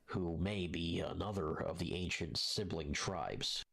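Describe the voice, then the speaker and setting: A man delivering scripted narration in a solemn, measured and formal manner. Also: low voice, vocal fry, breathy edge. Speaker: narrator of a video essay. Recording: music under the voice. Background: loud music.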